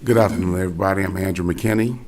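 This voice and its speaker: A man from Gary, Indiana, hoarse and raspy, giving an earnest, halting speech as a school board candidate at a forum. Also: low-pitched, darkish tone. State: hoarse from cheering.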